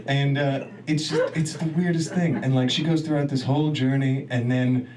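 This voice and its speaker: A man's voice: mid-range voice, fairly even intonation, moderate pace, darkish tone. Rambling stand-up comic man, distant and noisy. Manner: rambling, self-deprecating, laughs at own jokes. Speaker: stand-up comedian. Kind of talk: stand-up set. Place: comedy club stage. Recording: distant mic, noisy club room.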